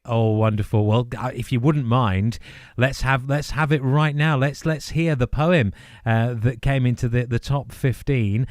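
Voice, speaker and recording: A man's voice: mid-range voice, fairly even intonation, unhurried, no room sound, studio quality. A man hosting a radio interview in an enthusiastic, encouraging manner. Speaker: radio host. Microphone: close studio radio microphone.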